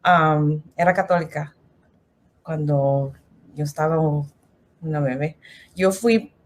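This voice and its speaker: Woman, from the Philippines, lowish voice, slow and hesitant, nervous speaking Spanish. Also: slight background noise. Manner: hesitant, many fillers, slips into English. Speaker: guest. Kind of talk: nervous testimony in halting Spanish.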